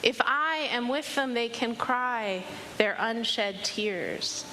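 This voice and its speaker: A woman's voice: a little high, slow. A woman voicing a timid, sorrowful female spirit in a storybook fairy tale, plaintive and hesitant. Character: Sadness, a timid, forlorn female spirit in blankets. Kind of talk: storytelling to an audience. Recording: reverberant hall, audible background noise.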